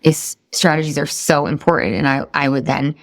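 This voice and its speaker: A middle-aged woman from the Boston area leads a live weight loss coaching session. She speaks in a fairly low voice, upbeat and direct, and keeps asking her group questions. The recording is dry and close, with no room sound. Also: normal speed, no echo.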